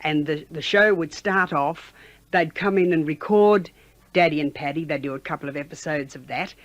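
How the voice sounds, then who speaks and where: A woman's voice: low voice, no echo, lo-fi sound. Older Australian woman reminiscing, dry close sound. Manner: chatty, halting, with frequent "you know". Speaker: former radio announcer being interviewed. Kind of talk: conversational reminiscence.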